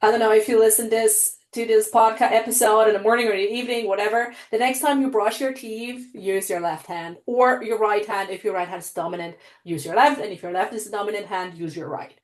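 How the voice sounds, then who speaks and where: A woman's voice: mid-range voice, steady tone, brisk, hardly any pauses. A woman hosting a podcast, outspoken and enthusiastic, swearing casually. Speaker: podcast host. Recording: roomy echoey room.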